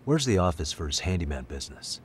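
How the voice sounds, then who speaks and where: An American man playing a sharp, composed detective in a game cutscene, speaking in a measured, deliberate way. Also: low voice, big pitch swings, slight background noise. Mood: serious, analytical. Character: sharp, composed male detective investigating a case. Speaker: video game voice actor. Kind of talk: English dub game cutscene dialogue.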